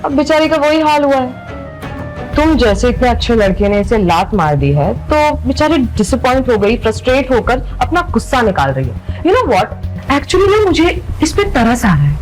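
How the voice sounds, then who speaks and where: A haughty young girlfriend from an audio drama. She taunts and mocks in a high, very animated voice, switching between Hindi and English, with music playing underneath. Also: moderate pace, poor audio. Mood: fake pity. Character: mean, mocking young girlfriend, possessive and haughty.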